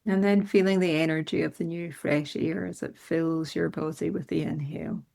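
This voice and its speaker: A woman leading a guided meditation in a soothing, unhurried manner with gentle instructions. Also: low-pitched, fairly even intonation, vocal fry.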